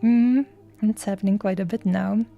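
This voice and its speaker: Female game streamer, casual and rambling. Dark, relaxed timbre, little pitch movement, playful phrasing, faint music behind. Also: normal speed, vocal fry, lo-fi sound. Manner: rambling, playful cutesy wording. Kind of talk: casual livestream chat over gameplay. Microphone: streaming microphone.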